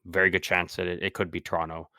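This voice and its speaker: A man co-hosting a casual sports podcast, talking haltingly with lots of ums while fighting a sore throat. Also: sits low, level tone, bright, forceful tone, dry recording, studio quality. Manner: halting, many ums and restarts. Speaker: podcast co-host.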